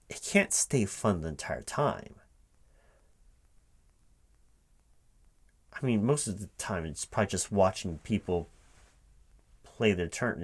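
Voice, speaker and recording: A man playing a baffled, incredulous recruiting manager in a spoken ASMR job interview roleplay, halting and repeating himself in disbelief. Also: deep voice. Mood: skeptical. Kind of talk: one-sided ASMR roleplay, softly paced but spoken aloud, improvised.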